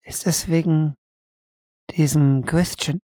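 A woman acting in an audio drama as a doting mother, softly soothing her little son. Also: sits low, fairly even intonation, takes it slowly, no room sound. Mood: tender, soothing. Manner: soft, breathy, doting.

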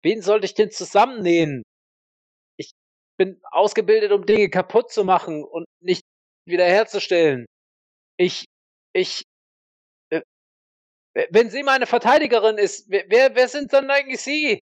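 A man playing a defiant, rattled war veteran accused of murder, halting and self-justifying, in an audio role-play on a dull microphone. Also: sits high, no echo, pitched above the performer's own voice. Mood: rattled, indignant.

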